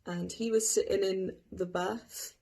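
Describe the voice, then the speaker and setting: A woman's voice: mid-range voice, steady tone, a little slow, dark tone, breathy edge. A woman retelling a simple children's-style story from memory. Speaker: participant in a story-retelling game.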